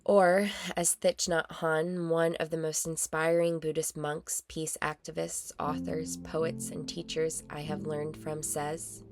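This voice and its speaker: A woman narrating a guided meditation in a calm, soothing, measured way with long pauses. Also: deep voice. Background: meditation music.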